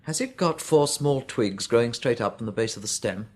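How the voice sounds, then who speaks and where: An actor playing a brisk, businesslike research chief with an English accent in a radio drama, clipped and probing. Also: male voice, medium pitch, expressive, close to an everyday voice. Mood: businesslike, intent. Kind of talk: British radio drama acting.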